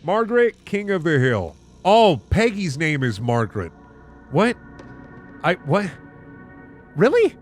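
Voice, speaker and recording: A man's voice: on the high side, big pitch swings, takes it slowly, pauses often, soft background music. A man hosting a comedic reading of bad fan fiction, narrating with incredulous, sardonic asides. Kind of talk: comedic narration with sarcastic commentary.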